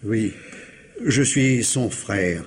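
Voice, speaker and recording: A fastidious retired civil servant in his sixties, with a husky, lowish voice. He speaks with formal, hesitant courtesy, careful and reserved, in radio mystery style. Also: full character voice. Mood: hesitant, polite. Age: older. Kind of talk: French radio mystery play acting.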